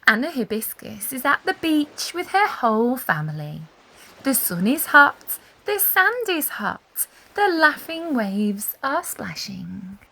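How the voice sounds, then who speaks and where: A woman reading a children's story aloud expressively, with deliberate emphasis and animated storytelling. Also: high voice, gravelly, poor audio. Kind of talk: expressive read-aloud narration for young children.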